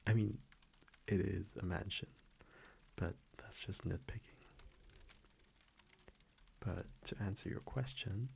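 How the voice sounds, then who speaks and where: A man playing an affectionate centuries-old vampire boyfriend in an intimate ASMR roleplay, speaking softly, hesitantly and teasingly into a close microphone. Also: sits low, steady tone, takes it slowly, creaky, long pauses, a little hiss or hum, exaggerated voice. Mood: teasing, fond. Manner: soft, hesitant, teasing, chuckling between phrases.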